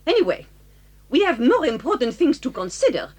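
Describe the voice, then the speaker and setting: An actress playing a strict, easily exasperated French schoolmistress with a French accent and halting English, in a children's audio drama. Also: female voice, high-pitched, sing-song, darkish tone. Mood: brisk, stern. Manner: commanding, theatrical, halting in English.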